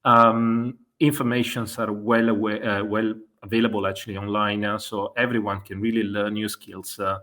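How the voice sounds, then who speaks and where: A man's voice: fairly low pitch, little pitch movement, moderate pace, few pauses, bright, forceful tone. A man in his forties from Italy with an Italian accent, answering interview questions hesitantly, with many fillers and self-corrections. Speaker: guest, e-commerce consultant.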